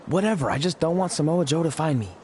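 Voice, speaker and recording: A man's voice: fairly high pitch, animated, fast, a little mellow, natural voice. A man acting as a cocky, paranoid, goofy wrestling champion, boastful and comically secretive in a scripted drama. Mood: furtive. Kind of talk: scripted comedic drama dialogue. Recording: faintly noisy location ambience.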